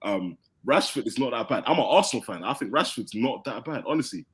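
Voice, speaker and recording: A man's voice: medium pitch, animated, brisk, a bit bright, husky. A young man from London with a London accent, calling into an online fan hangout and insisting on having his say. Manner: insistent, swears, talks over others. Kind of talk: casual chat. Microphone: online voice call.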